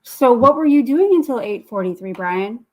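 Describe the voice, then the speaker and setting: A woman's voice: on the high side, expressive, moderate pace, darkish tone. A woman co-hosting a live radio talk program, teasing her partner with playful sarcasm. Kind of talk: casual live talk show chat.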